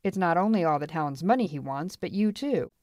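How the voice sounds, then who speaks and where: Woman playing a quiet, brooding frontier marshal. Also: lowish voice, lively intonation, brisk, dry recording, studio quality, lightly acted. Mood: jealous.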